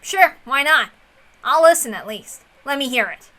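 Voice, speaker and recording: A woman's voice: high voice, lively intonation, moderate pace, sharp tone, pitched above the performer's own voice. A woman voicing a stubborn, wary young man in a visual novel reading, curt and grudging in manner.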